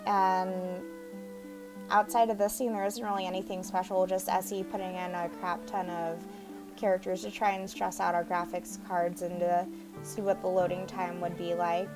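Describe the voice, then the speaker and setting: Raspy, quiet woman with a sore throat. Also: lowish voice, flat delivery, moderate pace. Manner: chatty, easygoing, a bit quiet. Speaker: gaming YouTuber. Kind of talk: casual gameplay voice-over commentary. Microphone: home voice-over mic. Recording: voice-over with game music behind.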